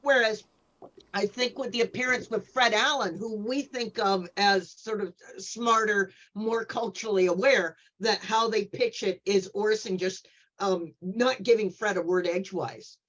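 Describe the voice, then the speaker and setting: Lo-fi sound; female panelist, medium pitch, reflective conversational analysis built on comparisons. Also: unhurried, a bit bright. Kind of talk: casual analytical discussion. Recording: slightly dull, lo-fi microphone sound.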